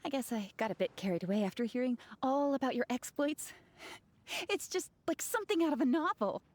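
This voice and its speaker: A woman's voice: high-pitched, lively intonation, fairly quick, a little mellow, no room sound. A woman playing an earnest, polite young knight in a video game, eager and a little dreamy. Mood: admiring, wistful. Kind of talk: animated game character acting.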